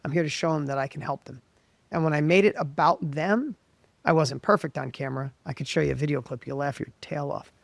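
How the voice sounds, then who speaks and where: A middle-aged man from the United States telling his story as an interview guest, with a high voice, animated and full of quick restarts. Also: vocal fry, dry recording. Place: professional studio.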